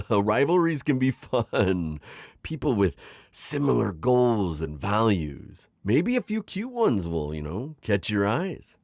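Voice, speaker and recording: A man's voice: lowish voice, very animated, a little mellow, no echo, clean studio sound, full character voice. A man playing a suave, manipulative magical villain mentor in an intimate ASMR roleplay, coaxing and teasing as he talks. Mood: persuasive, teasing. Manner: coaxing, smooth-talking, chuckling, drawn-out teasing phrasing. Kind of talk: ASMR roleplay acting, intimate one-sided dialogue.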